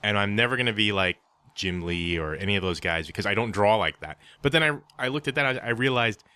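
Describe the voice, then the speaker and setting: Man, low pitch, crisp bright tone, podcast co-host, casual discussion, wry, measured wording. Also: expressive, no room sound.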